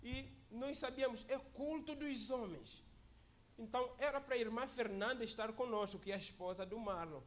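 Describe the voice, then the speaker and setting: A man's voice: extremely high pitch, moderate pace, fairly bright tone, a little hiss or hum. A man who is a guest preacher at a church service, speaking in a chatty, joking way that often asks "né?" and calls for amens. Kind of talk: informal church sermon.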